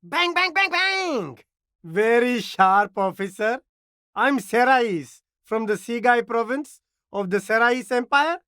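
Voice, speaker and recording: An actor voicing a cheerful, slick and eager immigrant street vendor in a video game, with flattering, laid-back sales patter. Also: mid-range voice, dry recording, clean studio sound. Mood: laid-back, boastful. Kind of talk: video game character dialogue.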